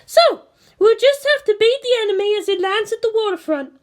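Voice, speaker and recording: Female operations director acted by a woman narrator, brisk, very high register, animated, matter-of-fact storybook officer. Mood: businesslike.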